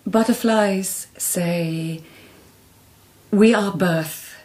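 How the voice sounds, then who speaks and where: An actress playing an elegant court lady poet of old Japan in a radio drama, formal, measured and lyrical. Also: medium pitch, darkish tone.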